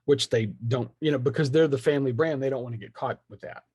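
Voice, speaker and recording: Animated, opinionated man in dry close sound. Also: mid-range voice, quick pace, slightly rough, no echo. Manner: opinionated, slangy, acts out imagined quotes. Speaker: podcast guest. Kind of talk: animated casual conversation.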